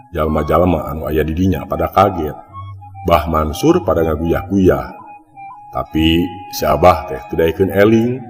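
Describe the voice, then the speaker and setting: A man with a Sundanese accent, dramatically narrating a mystery folk tale as a storyteller. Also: sits low. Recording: long reverb, music behind.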